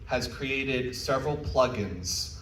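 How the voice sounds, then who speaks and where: A man's voice: medium pitch, slow, fairly bright tone. Guy doing a laid-back tech talk at a con, encouraging and self-deprecating, echoey room, mic kinda far. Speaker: presenter at a convention panel. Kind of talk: informal technical presentation with audience questions. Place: indoor convention panel room. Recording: roomy convention hall, distant mic, faint noise.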